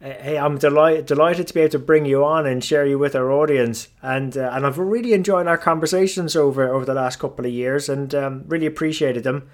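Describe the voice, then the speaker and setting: A man's voice: on the high side, steady tone, brisk, few pauses. A man hosting a podcast, introducing the episode and interviewing his guest in a friendly way with some fillers. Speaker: podcast host.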